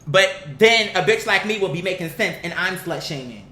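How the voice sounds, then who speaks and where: Online commentator, mid-pitched and sharp-toned, emphatic, slangy, swears freely, opinionated off-the-cuff talk, roomy home-style recording. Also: expressive, normal speed. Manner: blunt, emphatic, slangy and profane. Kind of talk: casual opinionated commentary, unscripted talk to viewers. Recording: echoey room, bright sound, faint background noise.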